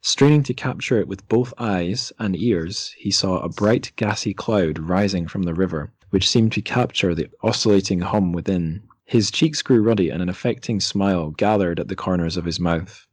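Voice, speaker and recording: A man's voice: deep voice, normal speed, hardly any pauses, slightly rough, no echo. A man hosting a literary podcast, talking casually and reading passages from a book. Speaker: podcast host.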